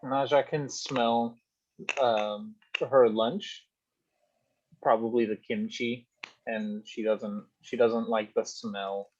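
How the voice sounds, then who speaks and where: A male student giving hesitant answers to questions, pausing to think as he goes. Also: mid-range voice, fairly even intonation, a little mellow, lo-fi sound. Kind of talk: halting spoken answers.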